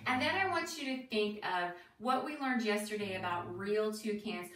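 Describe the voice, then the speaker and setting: A middle-aged woman giving an expressive read-aloud lesson for young children, deliberate and sing-song, putting questions to them. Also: mid-range voice. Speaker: teacher reading a picture book aloud. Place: small indoor room with decorated wall, seated on floor. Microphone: camera a few feet away. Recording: roomy, distant mic, light background noise.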